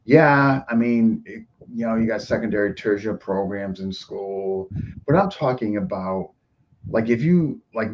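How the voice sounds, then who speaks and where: A man hosting a podcast in a casual discussion, agreeable and quick to chime in with affirmations. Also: on the low side, darkish tone. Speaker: podcast host. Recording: dull muffled audio with faint noise.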